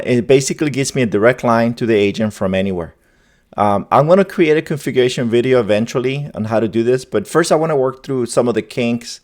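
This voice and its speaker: A man's voice: medium pitch, darkish tone. A man hosting a tech video, talking informally through a demo and thinking aloud as he goes, often repeating his phrases. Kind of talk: casual tutorial screen demo.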